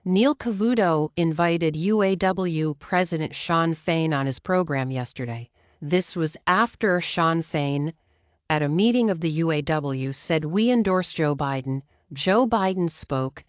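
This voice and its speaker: A woman's voice: deep voice, expressive, a little slow, no echo. An American woman giving opinionated political commentary in an emphatic, conversational way, often posing rhetorical questions.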